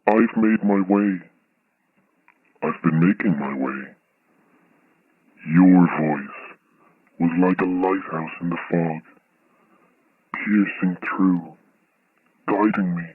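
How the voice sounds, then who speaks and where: Creepy obsessed caller in a horror drama, really slow low voice, tinny phone sound, menacing but friendly. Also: male voice, husky, no room sound, heavily acted. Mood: quietly menacing. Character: menacing, obsessive male stalker phoning a radio show. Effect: telephone filter. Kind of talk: audio horror drama acting. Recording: thin, muffled phone line.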